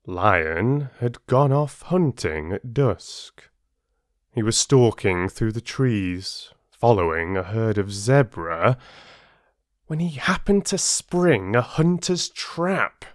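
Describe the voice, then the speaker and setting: A man reading aloud as an audiobook host, with an expressive, measured storytelling manner. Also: medium pitch, no room sound, clean studio sound. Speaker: audiobook reader and channel host. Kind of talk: children's story narration.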